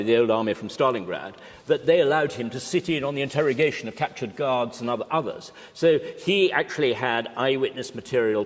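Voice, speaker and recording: A man speaking as a historian on a panel, explaining in a measured, hesitant way. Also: medium pitch. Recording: reverberant hall.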